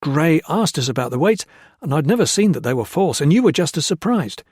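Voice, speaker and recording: Guy doing a whimpery scared storekeeper, high and stammery, dry close sound. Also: sing-song, a little fast, darkish tone, husky, dry recording. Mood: eager, stammering. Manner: frightened, pleading, stammering. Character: cringing young male storekeeper, whimpering.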